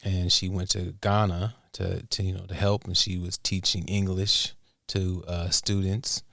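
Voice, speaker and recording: A man from the United States with an American accent, talking casually and haltingly as an interview guest. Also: deep voice, little pitch movement, vocal fry, fairly bright tone, no room sound, clean studio sound. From Portland, Oregon, United States. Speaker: interview guest, music producer and inventor. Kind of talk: casual conversational storytelling.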